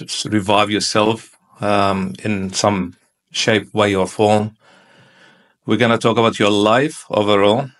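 A man in his thirties hosting a podcast, asking upbeat, encouraging questions in a studio on a boom mic. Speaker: podcast host. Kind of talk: podcast interview. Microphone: boom mic on arm.

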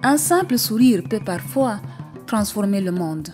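A woman's voice: medium pitch, expressive, measured pace, a little mellow. Woman narrating a folktale over music. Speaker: storyteller, narrator. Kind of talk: scripted narration of an African folktale.

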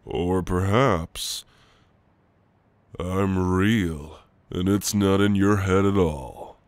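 A man playing a gentle, teasing sleep paralysis shadow demon in an intimate ASMR roleplay, speaking in a soft, halting, reassuring way. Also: deep voice, no room sound. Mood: teasing, mysterious. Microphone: close, dry microphone.

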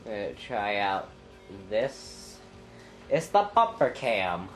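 A young man with a congested, stuffy voice talks slowly and loosely as he plays a racing game, trailing off into ums and breaking into loud yelps. He sounds clogged up and under the weather. Faint game music runs underneath. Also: fairly low pitch, sing-song. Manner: rambling, thinking aloud with many ums, sudden loud exclamations. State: stuffy nose, congested throat. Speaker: gameplay commentator. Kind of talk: casual let's-play commentary.

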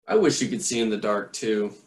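Man squeaking as Stellaluna, a timid little girl bat. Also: animated, fairly quick, lightly acted. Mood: gentle. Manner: squeaky, eager. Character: timid young female fruit bat. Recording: echoey room.

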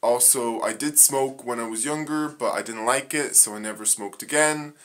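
A young man from Northern Ireland in a living room, reading off facts about himself into a phone camera, halting with many ums and uhs. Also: medium pitch, a bit bright. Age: young adult. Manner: halting, frequent ums and uhs, slow and plain. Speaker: vlogger. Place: small living room indoors. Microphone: phone camera at arm's length.

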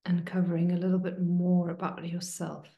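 A woman meditation teacher leading a guided meditation in a hushed, soothing manner, leaving long pauses between short phrases. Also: lowish voice, completely flat delivery. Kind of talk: guided meditation, slow and spoken to listeners. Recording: roomy, echoing sound.

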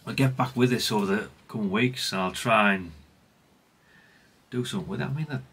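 A man's voice: fairly low pitch, brisk, fairly bright tone, slightly rough. A middle-aged British man giving casual, unscripted workbench commentary in a rambling, chatty, plain-spoken way. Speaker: hobbyist radio repair vlogger.

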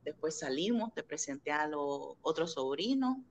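A middle-aged woman from Nicaragua telling family stories in a casual interview over a webcam, animated and laughing often. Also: low voice, normal speed, slight background noise. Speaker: guest, interviewer's sister. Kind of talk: casual video-call interview. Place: home room indoors. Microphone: video call webcam.

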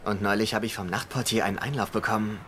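A man's voice: fairly low pitch, steady tone, fast talker, a bit bright, slight background noise, close to an everyday voice. An actor playing a chatty, bemused male hospital patient in a dubbed TV comedy. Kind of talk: German dubbed TV comedy acting.

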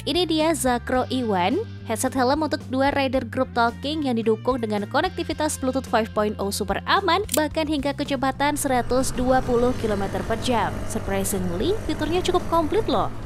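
A woman's voice: high-pitched, animated, normal speed. A woman reading a scripted promotional product review voice-over in a chatty, persuasive manner. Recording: music bed under studio voice-over. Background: background music.

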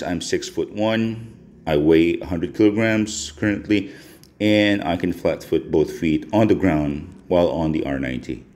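A man's voice: low-pitched, darkish tone. A man from the Philippines giving a casual, conversational voice-over review as a motorcycle vlogger. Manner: conversational, informal.

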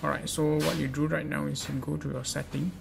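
A man's voice: fairly high pitch, level tone, fairly quick. A man giving an informal step-by-step gadget tutorial in a hesitant, repetitive way. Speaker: presenter of a gadget how-to guide. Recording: clearly noisy amateur recording.

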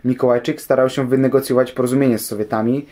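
A man's voice: medium pitch, fairly even intonation, quick pace, warm tone. A man giving scripted documentary narration, answering questions about history.

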